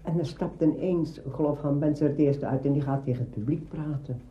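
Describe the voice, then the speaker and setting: Older man, very mellow, higher voice. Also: brisk. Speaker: interviewee, veteran radio drama maker. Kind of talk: spontaneous anecdotal talk. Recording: dull, roomy archive recording.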